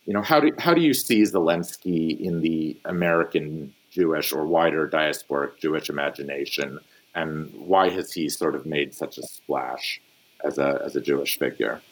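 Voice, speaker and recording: A man hosting a podcast panel discussion, introducing guests in a measured, formal way. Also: extremely low pitch, low-quality recording. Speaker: podcast host, newsletter editor.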